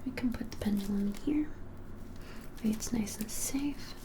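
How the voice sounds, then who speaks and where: A woman in her twenties whispering softly and gently in an unhurried ASMR shop roleplay. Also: on the high side, background noise. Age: 20s. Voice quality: soft, breathy whisper. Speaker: ASMR creator playing a witch shop keeper. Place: small indoor room, plain wall.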